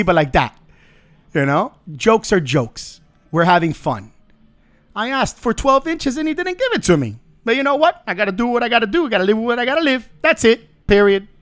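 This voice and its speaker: A middle-aged man with a fairly high voice hosts his own podcast. He talks off the cuff in a blunt, joking manner and swears freely. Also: fairly bright tone. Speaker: podcast host. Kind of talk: casual monologue.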